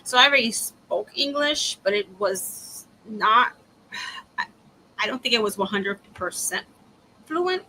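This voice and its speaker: Bright-voiced woman, easygoing chat. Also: fairly high pitch, animated, measured pace, long pauses, a little hiss or hum, poor audio. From Dominican family, grew up in the US. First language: Spanish. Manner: easygoing, laughs between remarks. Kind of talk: casual chat.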